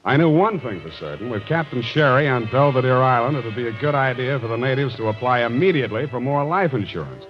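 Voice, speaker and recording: An actor playing a sardonic, wisecracking private detective in a 1940s-style radio drama, with narration and banter. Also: male voice, mid-range voice, animated, fast, hardly any pauses, natural voice. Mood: sardonic narrating. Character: wry hard-boiled male private detective narrating his case. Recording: dull vintage radio recording with music.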